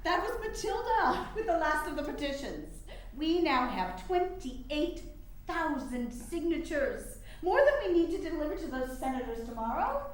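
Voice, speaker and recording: A distant, very echoey stage reading. An actress plays an eloquent, sardonic campaigner for women's rights, high-voiced, formal and rhetorical, with lively swings in pitch. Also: female voice, normal speed. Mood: matter-of-fact. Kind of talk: staged play reading, oratorical. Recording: echoey room, distant mic, faint noise.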